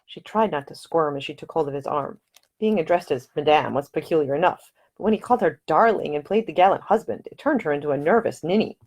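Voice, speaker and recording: Low-voiced woman reading her novel. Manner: measured, expressive storytelling. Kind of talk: audiobook narration.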